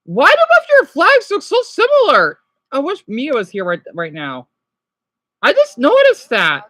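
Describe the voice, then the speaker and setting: Young female streamer, high and bouncy, dry close sound, rambles at chat. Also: wildly swooping pitch, unhurried, a bit bright, no room sound. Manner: chatty, scattered, talks to chat. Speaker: streamer reacting to clips. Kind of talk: casual stream chatter to viewers.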